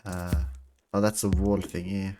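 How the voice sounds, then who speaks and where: A man's voice: deep voice, flat delivery, unhurried. A man chatting casually while playing on a stream, thinking aloud somewhat hesitantly. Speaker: gamer on a group stream. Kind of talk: casual chat while gaming. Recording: music behind the voice. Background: music.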